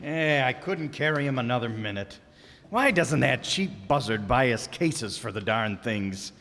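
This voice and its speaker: A man's voice: medium pitch, expressive, unhurried, a bit bright. A man voicing a harried, put-upon salesman husband who grumbles and wisecracks in a live radio play. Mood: grumbling. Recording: live stage with hall reverb.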